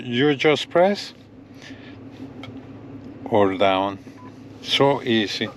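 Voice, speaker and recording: Slow-talking man demonstrating gear, noisy recording. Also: medium pitch. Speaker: gadget review vlogger. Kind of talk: casual hands-on product demo, addressing viewers. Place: in and around a parked pickup truck.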